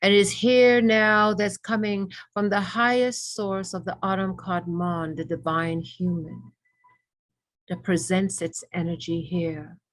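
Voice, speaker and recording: A woman leading a guided meditation with ASMR elements, speaking reverently in short, measured phrases with pauses between them. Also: mid-range voice, fairly even intonation.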